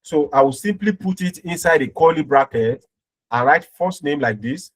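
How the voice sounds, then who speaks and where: A man's voice: fairly high pitch, animated, moderate pace. A man giving an informal step-by-step programming tutorial as an instructor, often checking in with short tag words as he explains.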